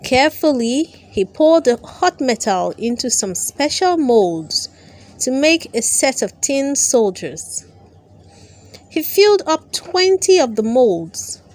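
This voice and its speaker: A woman narrating a children's storybook aloud, with careful, expressive storytelling. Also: high voice. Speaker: storyteller, channel host.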